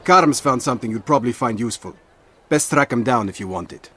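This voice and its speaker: A man's voice: mid-range voice, expressive, quick pace, noisy background, natural voice. A man playing a helpful, casual assassin informant in a video game. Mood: matter-of-fact. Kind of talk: dramatic game dialogue.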